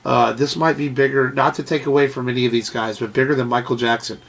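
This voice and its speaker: A man's voice: medium pitch, fairly even intonation, quick pace, fairly bright tone. A man from Texas co-hosting a podcast, congested from a sinus infection, rambling and cursing freely. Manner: rambling, salty, with many fillers and restarts. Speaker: podcast co-host. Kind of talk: casual chat.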